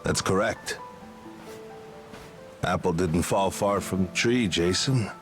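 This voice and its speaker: A good-natured seafaring old friend from a video game, with a very deep voice. He speaks with nostalgia, like someone reminiscing about life at sea. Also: takes it slowly, faint music behind. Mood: nostalgic.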